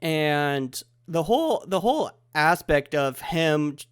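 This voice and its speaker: A man's voice: a little high, lively intonation, slow, smooth. A man hosting a casual podcast chat over the internet. He sounds congested from a head cold and talks eagerly, often trailing off mid-thought. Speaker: podcast host. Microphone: remote recording over the internet.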